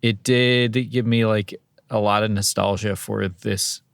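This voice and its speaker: Man, vocal fry, dry close sound, halting laid-back chat, podcast co-host. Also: on the low side, fairly even intonation, dry recording.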